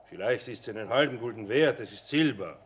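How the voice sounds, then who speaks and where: An actor playing a sly, mocking army sergeant in a theatrical radio play. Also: male voice, fairly low pitch, lively intonation, fairly quick, breathy edge. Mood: feigned hesitation. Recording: slightly noisy radio play recording.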